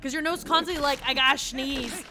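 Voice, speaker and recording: A high-voiced young woman with a twang, stuffy from allergies, keeps up sarcastic running commentary at her desk mic while she plays. She talks back to the characters and her chat. Game music plays clearly behind her. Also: fairly quick. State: sniffly from allergies. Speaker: streamer. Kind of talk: casual let's-play commentary.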